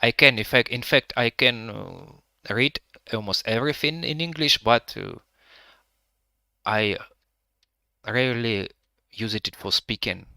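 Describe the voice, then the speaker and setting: A man with a Russian-Ukrainian accent guiding a live virtual tour, speaking halting English with many pauses to find his words. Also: mid-range voice, animated, vocal fry, pushed and bright, no room sound. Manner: hesitant, pausing to find words; halting non-native English with frequent fillers.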